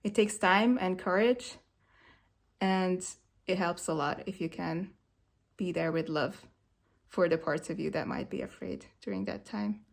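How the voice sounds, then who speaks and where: A woman's voice: fairly low pitch, a little slow, gravelly. A woman whose first language is Hungarian, speaking in a reflective, hesitant way with many fillers. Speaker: vlogger sharing movement practice. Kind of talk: reflective personal monologue.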